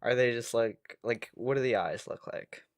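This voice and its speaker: A man's voice: medium pitch, moderate pace, dry recording, clean studio sound. A man co-hosting a podcast in an informal, playful chat, prompting with questions. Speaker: podcast co-host.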